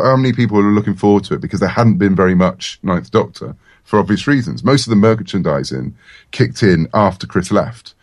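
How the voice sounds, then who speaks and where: Guy being interviewed, really low voice, dry close sound, chatty and a bit self-deprecating. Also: a little mellow, no room sound. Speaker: interview guest, comics and audio writer. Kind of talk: casual interview chat.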